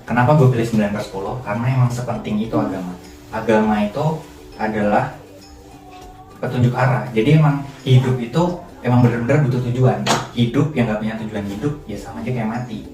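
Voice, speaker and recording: A man in his twenties, a student, talking in a relaxed, slangy, emphatic way. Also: medium pitch, fast talker. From Indonesia. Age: 20s. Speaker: student interviewee. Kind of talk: casual student interview. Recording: roomy distant mic with clear music.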